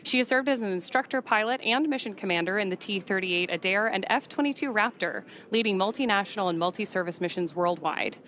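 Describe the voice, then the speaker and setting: A woman's voice: mid-range voice, lively intonation, a little fast, few pauses, fairly bright tone. Woman commentating live over background noise. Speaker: live broadcast commentator for a space mission. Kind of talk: informative live commentary, partly read from notes.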